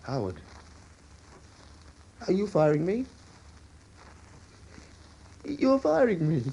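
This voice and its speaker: A man's voice: high voice, huge pitch swings, takes it slowly, highs cut off, very noisy, exaggerated voice. An actor playing a weary, proud older American salesman in a stage-style radio drama, stammering and rambling with desperate emphasis. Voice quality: husky, gravelly. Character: weary ageing travelling salesman, proud, desperate, self-deluding.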